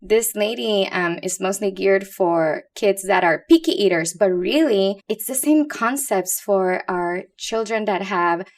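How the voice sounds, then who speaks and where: A woman from Puerto Rico, with that island's accent, talks as an expert guest on a casual podcast. Her voice sits at a middle pitch, and her manner is candid and playful. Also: measured pace, few pauses. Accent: Puerto Rican. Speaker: guest expert, pediatric liver doctor.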